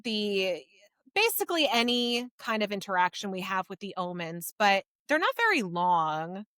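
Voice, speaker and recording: A woman co-hosting a podcast, talking casually about books in a measured, conversational way. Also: mid-range voice, big pitch swings, a bit bright, dry recording, studio quality. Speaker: podcast co-host. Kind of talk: casual book review chat.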